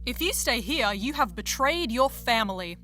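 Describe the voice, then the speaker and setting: A woman playing a stern, accusing figure in a stage tragedy, declaiming with deliberate force. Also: a little high, animated, pushed and bright, faint music behind. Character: stern, accusing voice in a tormented princess's mind. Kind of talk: theatrical tragedy acting.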